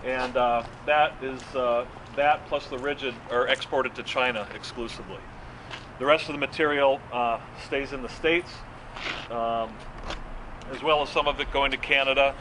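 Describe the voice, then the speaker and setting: A man giving an informal explanatory tour in a matter-of-fact, halting way, as a guide at a working facility. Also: medium pitch, steady tone. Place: working waste and recycling facility. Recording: distant mic, clear vehicle and machinery noise.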